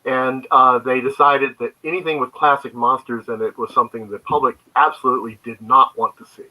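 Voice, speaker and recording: Male interview guest, mid-pitched and strikingly bright, halting conversational storyteller explaining his creative work. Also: steady tone, rough recording. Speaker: guest, comic book creator. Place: remote online video call.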